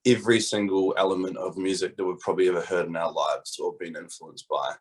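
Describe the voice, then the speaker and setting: A young man with a low, husky voice talks loosely and jokily. He is a guitarist in an interview, rambling a little as he explains himself. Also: flat delivery, brisk. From New Zealand. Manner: joking, casual, rambling. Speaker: guitarist in a band. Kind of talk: casual interview chat.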